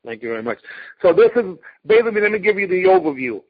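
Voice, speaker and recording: A man's voice: high voice, sing-song, fast, vocal fry, breathy edge. A man hosting a casual interview, speaking emphatically and effusively, with respectful formality toward the guest, on dull radio-style audio. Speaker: interviewer, host of a martial arts talk show. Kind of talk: casual interview, enthusiastic introduction.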